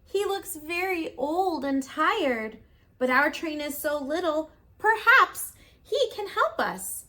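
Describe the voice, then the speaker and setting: A woman's voice: high voice, animated, takes it slowly, sharp tone, pitched above the performer's own voice, exaggerated voice. A woman voicing a cheerful little male toy clown in a storybook, sounding upbeat and encouraging.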